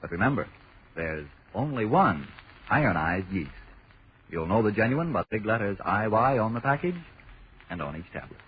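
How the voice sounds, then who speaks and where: A man's voice: fairly low pitch, sing-song, moderate pace. An American man announcing on 1940s radio with an emphatic, salesmanlike delivery. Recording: dull, noisy 1940s radio recording.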